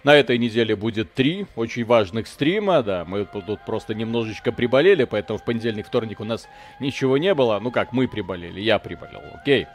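A man's voice: lowish voice, lively intonation, fast talker. A man hosting a live video game stream, chatting casually in slangy, joking language with mild expletives.

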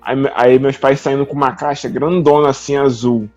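A man's voice: mid-range voice, steady tone, moderate pace, darkish tone. A man with a Brazilian accent chatting casually, in a colloquial and enthusiastic way. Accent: Brazilian Portuguese. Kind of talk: casual chat, reminiscing. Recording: slightly dull audio, music behind.

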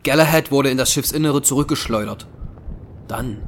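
A man's voice: mid-range voice, moderate pace. A man narrating a German audio drama in a scripted, dramatic storytelling style.

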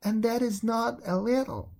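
A reader voicing a frightened young servant girl who pleads and confesses in a high, tearful voice. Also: normal speed, vocal fry, a little mellow, lightly acted. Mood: eager.

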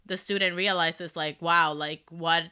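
A woman with a Dominican accent co-hosting a casual chat about K-pop, talking excitedly with lots of fillers. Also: lowish voice, measured pace, clear, resonant tone. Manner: excited, rambling with many fillers. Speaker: podcast co-host.

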